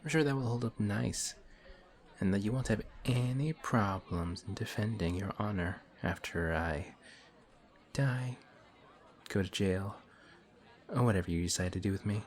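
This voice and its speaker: A man voicing a suave male villain who teases a hero in an audio roleplay, slow and taunting. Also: lowish voice. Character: smug suave male villain, teasing and calm. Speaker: voice actor.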